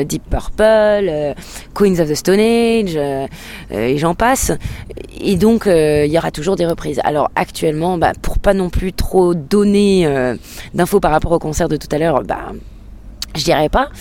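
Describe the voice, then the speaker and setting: A woman's voice: deep voice, dark tone, slight background noise. A woman whose first language is English, a singer-songwriter answering interview questions in a hesitant way, with many filler sounds and restarts.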